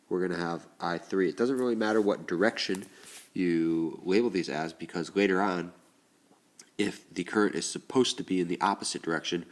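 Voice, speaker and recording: A male teacher with a stuffed-up, congested voice, slowly explaining a lesson step by step as he writes. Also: low-pitched. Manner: slow, deliberate explaining while writing. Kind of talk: recorded classroom lesson.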